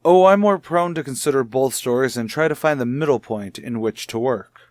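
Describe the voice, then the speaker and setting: An actor playing a composed, authoritative child psychologist in a 1950s radio soap, speaking formally and in a measured way. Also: male voice, medium pitch, a little mellow, no echo, studio quality, lightly acted. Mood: measured, professional.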